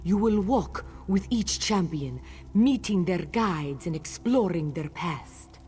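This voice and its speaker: Game priestess: solemn cat-folk ritual leader, lowish voice, very unhurried, ceremonial. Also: female voice, animated, a little mellow, slight background noise. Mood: solemn explaining. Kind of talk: fantasy video game character dialogue.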